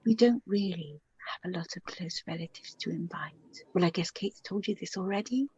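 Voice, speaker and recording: A woman playing a gentle, flustered middle-aged widowed mother in a radio drama, halting and repeating her words. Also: fairly low pitch, breathy edge, no room sound, highs cut off. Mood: polite, warm. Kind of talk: naturalistic radio drama dialogue.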